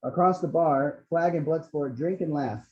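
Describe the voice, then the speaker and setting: A man's voice: on the high side, normal speed. A man reading out screenplay action descriptions as the narrator of a table read. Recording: slightly dull lo-fi microphone.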